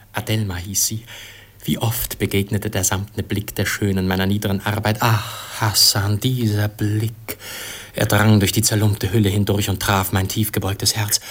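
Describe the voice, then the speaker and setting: An actor playing a young, lovelorn prince in exile, declaiming lyrically and fervently in a theatrical radio play. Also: male voice, fairly low pitch, slightly rough. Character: Kalaf, youthful exiled prince, passionate and lovelorn.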